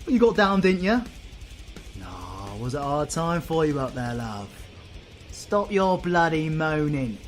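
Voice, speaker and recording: A man's voice: on the high side, sing-song, measured pace, soft background music. Guy doing a cocky sweary british supervillain for audio roleplay, teasing and roguish, voice swings all over. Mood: mocking irritation. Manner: sweary, flippant, mocking banter.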